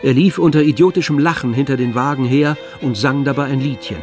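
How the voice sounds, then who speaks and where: Measured male narrator over faint music. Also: mid-range voice. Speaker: narrator of a radio drama. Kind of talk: scripted literary narration.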